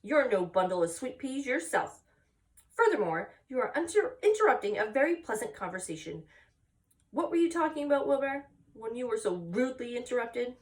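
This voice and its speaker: A woman's voice: on the high side, very animated, normal speed, distant mic, pitched above the performer's own voice, poor audio. Woman voicing a firm, motherly, wise spider. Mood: sharp, indignant. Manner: crisp, emphatic, instructing.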